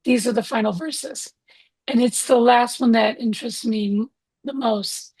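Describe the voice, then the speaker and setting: Woman, higher-pitched voice, interview host, encouraging and affirming. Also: fairly even intonation, unhurried, darkish tone, slightly rough. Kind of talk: interview hosting.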